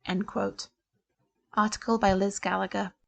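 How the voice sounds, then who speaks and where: Woman reading news, slightly creaky voice. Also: medium pitch, flat delivery, unhurried, highs cut off. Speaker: volunteer newspaper reader. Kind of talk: scripted news reading for a talking newspaper.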